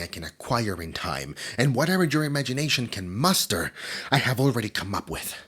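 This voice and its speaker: A performer voicing a theatrical, flustered demon, widely swooping pitch. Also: husky. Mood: guarded, uneasy. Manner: stammering, theatrical, swinging from soft musing to panicked outbursts. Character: flustered, nerdy immortal demon, collector of trinkets. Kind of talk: roleplay ASMR character acting.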